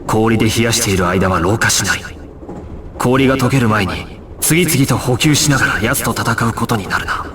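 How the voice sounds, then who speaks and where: An actor playing a resolute, stoic young gangster squad leader in a Japanese action video game, speaking with solemn, commanding authority. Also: male voice, mid-range voice, lively intonation, moderate pace, background noise, natural voice. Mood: tense, analytical. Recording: music behind the voice.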